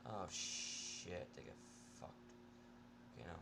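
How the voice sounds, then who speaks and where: A man with a lowish voice reads first-person fiction aloud. His delivery is informal and amateur, with frequent slips over words. A faint noise sits behind the recording. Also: expressive, slow, vocal fry. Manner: informal, stumbles over words and swears at own mistakes. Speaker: amateur fan fiction reader. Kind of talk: first-person story narration.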